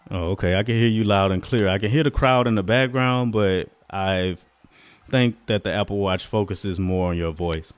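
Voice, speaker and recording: A man's voice: lowish voice, moderate pace, clean tone, no room sound. A man vlogging a casual product test, talking colloquially and straight to his viewers. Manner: colloquial, addresses viewers directly. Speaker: vlogger, gadget tester.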